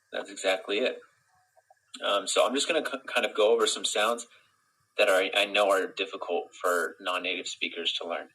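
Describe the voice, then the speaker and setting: A young man from Utah with a General American accent, teaching a live English pronunciation lesson in a relaxed, friendly way and greeting viewers from the chat. He sounds close to a phone camera in a small indoor room. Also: fairly low pitch, slightly rough, poor audio. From Utah, United States. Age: young adult. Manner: relaxed, friendly, explains step by step with fillers.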